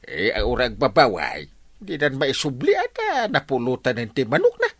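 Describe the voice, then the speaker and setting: A village man trading gossip in a comedy radio drama. His pitch swings extremely widely and expressively, broken by little interjections, in a very dry recording. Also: wildly swooping pitch, moderate pace, husky, no room sound, heavily acted. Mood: resigned.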